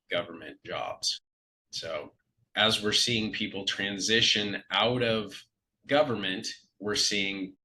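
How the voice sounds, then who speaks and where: A man with a nasal, congested voice from a cold, giving a measured market update in a live webinar, often restarting phrases. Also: fairly low pitch, bright, forceful tone, a little hiss or hum, low-quality recording. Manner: measured, explanatory, with frequent restarts. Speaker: financial advisor.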